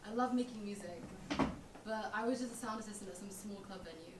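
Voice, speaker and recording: A woman's voice: medium pitch, fast, natural voice. A young woman playing a defensive, self-doubting roommate in a comedic stage play. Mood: frustrated, dejected. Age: young adult. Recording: echoey room, distant mic, clear background noise.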